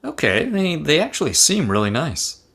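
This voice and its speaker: Eager, kindly ghost, read by a man, lively swooping delivery, sitcom script. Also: on the high side, normal speed, creaky, full character voice. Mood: hopeful. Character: cheerful, well-meaning, eager ghost.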